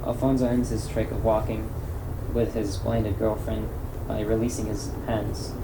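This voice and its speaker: Guy narrating a script, lowish flat voice, formal reading, super noisy room. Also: unhurried. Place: indoor room at a table read.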